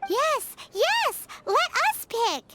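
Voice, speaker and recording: A woman voicing a tiny, childlike, chirpy and cheeky companion creature in a video game, squeaky and excitable. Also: drawn-out words, full character voice. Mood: eager. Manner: excitable, speaks of herself in third person. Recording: music behind the voice.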